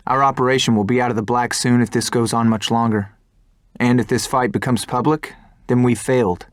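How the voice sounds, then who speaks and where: A man's voice: medium pitch, dry recording, clean studio sound, natural voice. Earnest young fighter pilot, played by a man. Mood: worried. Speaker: fighter pilot protagonist and narrator. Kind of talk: video game cutscene narration and dialogue. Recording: music behind the voice.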